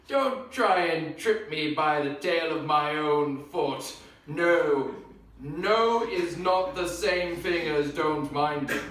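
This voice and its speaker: A man's voice: a little high, pushed and bright. A man performing a live spoken-word comedy set, rattling through chaotic, rambling wordplay and acting out both sides of an argument. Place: live event venue on stage. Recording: very echoey room, mic at a distance.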